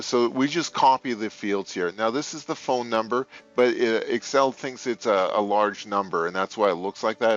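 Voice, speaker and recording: A man narrating a step-by-step software tutorial in a plain, informal, unscripted way, thinking aloud as he instructs. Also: on the low side, lo-fi sound. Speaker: software tutorial presenter for a telecom retailer.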